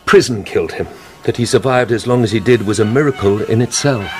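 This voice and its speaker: An actor playing a grave, courteous priest in a radio drama, measured, polite and quietly calculating. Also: male voice, lowish voice, faint music behind, natural voice. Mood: solemn.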